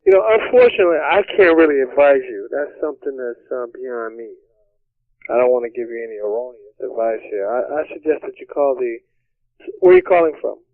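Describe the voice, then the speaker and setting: A male lawyer talks on the telephone with a medium pitch. He is polite but hesitant and careful. The line is tinny and dry. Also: animated, brisk, dry recording. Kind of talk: phone conversation. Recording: tinny narrowband phone line.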